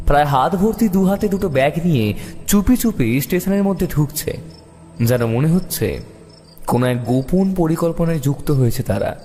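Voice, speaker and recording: A man tells a suspense story as its first-person narrator, reading dramatically. His pitch runs a little high and moves widely. Music plays behind him, and a long reverb surrounds the voice. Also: mellow.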